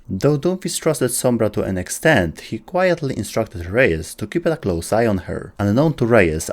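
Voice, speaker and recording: A man's voice: on the low side, darkish tone. A man giving scripted, documentary-style narration for a game lore video.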